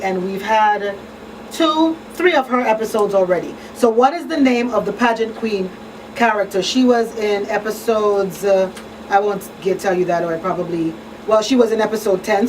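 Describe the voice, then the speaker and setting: A woman hosts a talk show in a small studio, explaining conversationally. Her voice sits at a middle pitch. The pickup is somewhat distant, with faint room noise. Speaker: radio show host and crisis counselor. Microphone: studio broadcast mic on boom arm.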